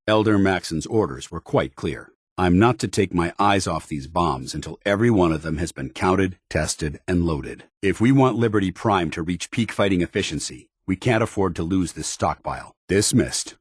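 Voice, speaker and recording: A man's voice: sits low, expressive, moderate pace, hardly any pauses, slightly rough, no echo, clean studio sound. A man playing a stern, earnest soldier loyal to his order, speaking formally and firmly as video game companion dialogue. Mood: commanding. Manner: formal, clipped, commanding, earnest.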